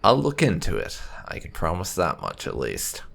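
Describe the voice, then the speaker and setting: A man giving roleplay commentary on a video game, thoughtful and deliberate, voicing his lines in between remarks. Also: low-pitched, huge pitch swings, creaky, fairly bright tone. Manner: thoughtful, deliberate, reading character dialogue aloud. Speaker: gaming channel host. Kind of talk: roleplay let's play commentary.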